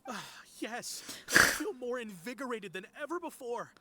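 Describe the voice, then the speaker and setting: A woman's voice: on the high side, lively intonation, moderate pace, very noisy, lightly acted. A woman voicing an earnest, polite, superstitious young female client in an anime-style video game, hesitant in manner. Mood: grateful, bright.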